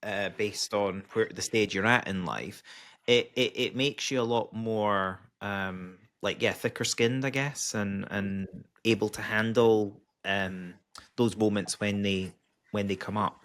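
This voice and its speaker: A Scottish man hosting a podcast interview, talking conversationally with many pauses and restarts. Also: lowish voice, steady tone, fairly bright tone. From Scotland. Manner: conversational, hesitant, lots of ums and restarts. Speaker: podcast host.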